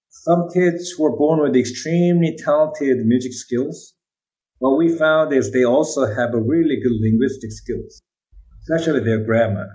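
Man, Korean accent, native Korean speaker, mellow warm voice, explanatory, frequent "right?". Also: medium pitch, low-quality recording. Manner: explanatory, often adds "right?". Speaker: brain researcher. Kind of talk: interview answers.

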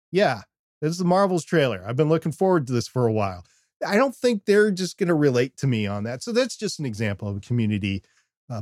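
An older North American man co-hosting a podcast in a casual, self-deprecating way, with animated rambling asides. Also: on the high side, no room sound, clean studio sound. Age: older, over 50. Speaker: podcast co-host. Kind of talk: casual discussion with examples.

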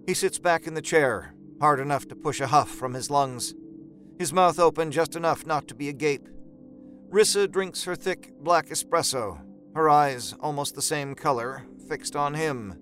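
Male narrator, slightly high register, scripted horror fiction, music under the read. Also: normal speed, a bit bright. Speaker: narrator of an audio drama.

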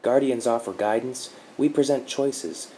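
A man voicing a sweet-talking female dark guardian spirit, soothing and gently mocking. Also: medium pitch, unhurried, slight background noise, pitched above the performer's own voice, lightly acted, rough recording. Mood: explaining.

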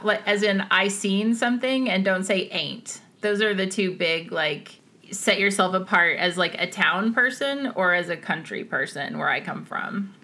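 A woman from the Midwest co-hosts a relaxed podcast chat from home over a laptop video call. Her voice is bright and forward, and she talks in an easygoing, talkative way and laughs often. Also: medium pitch. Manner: chatty, quick to laugh. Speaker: podcast co-host. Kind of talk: casual chat.

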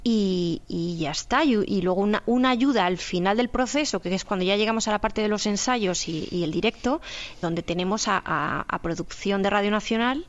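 A woman's voice: mid-range voice, normal speed. Spanish woman explaining, slightly dull recording. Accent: Spanish from Spain. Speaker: radio drama producer being interviewed. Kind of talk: explanatory interview talk.

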